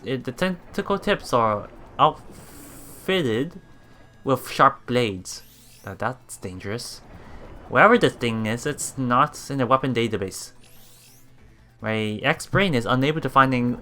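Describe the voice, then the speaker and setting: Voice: medium pitch, bright, forceful tone, a little hiss or hum. A let's play streamer reading game text aloud with casual reactions, often stumbling over words and repeating them.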